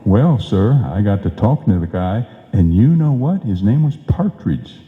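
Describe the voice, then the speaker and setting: Dull roomy live sound, man as bumbling radio-comedy husband, lowish voice, folksy, slangy, blustering. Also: sing-song, moderate pace. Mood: boastful. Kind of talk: old-time radio comedy acting before a live audience. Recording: dull, echoey live hall recording.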